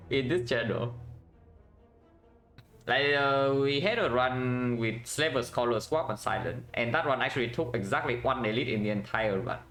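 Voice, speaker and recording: Vietnamese-accented male streamer, mid pitch, casual running commentary, faint music bed. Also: moderate pace, fairly bright tone. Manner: thinks aloud, tag questions "yeah?", chats with viewers. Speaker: game streamer.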